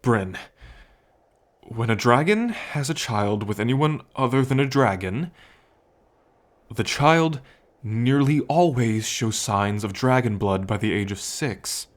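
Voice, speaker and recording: A man voicing a solemn dragon of royal blood, an older half-brother who explains things in a measured way in an ASMR story roleplay. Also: mid-range voice, animated. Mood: grave, pondering.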